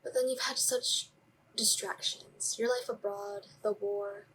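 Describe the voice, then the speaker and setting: A woman performs a bitter, accusing daughter from a Greek tragedy on stage. Her mid-pitched lines are terse and cutting, heavy with grief. Faint background noise runs under the recording. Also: steady tone, a little slow, fairly bright tone, lightly acted. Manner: terse, cutting, echoing the other's words. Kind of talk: student stage drama acting.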